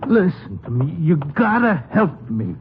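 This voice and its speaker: Unhinged older ex-con: slow, stammering, pleading, big pitch swings, muffled vintage radio sound. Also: male voice, sing-song. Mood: frantic, pleading. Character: desperate, unhinged older ex-convict haunted by lost money. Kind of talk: 1950s radio drama acting. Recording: muffled, noisy 1950s radio broadcast.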